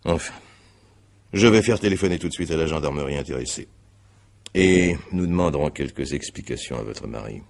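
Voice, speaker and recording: An actor playing a stern, methodical examining magistrate in a radio mystery drama, questioning formally and deliberately with a gravelly voice. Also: male voice, very deep voice, lively intonation, exaggerated voice.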